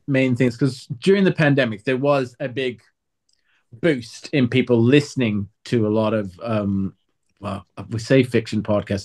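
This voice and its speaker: A man's voice: mid-range voice, darkish tone. A man chatting casually as an interview guest, wry, self-deprecating and emphatic. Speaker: writer, voice actor and interview guest.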